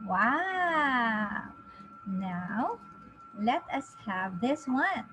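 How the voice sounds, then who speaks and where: A woman in her thirties giving a phonics lesson to young children, encouraging them with drawn-out, exaggerated enunciation. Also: fairly high pitch, big pitch swings. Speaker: reading teacher. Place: small indoor home teaching space. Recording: clearly noisy home webcam recording.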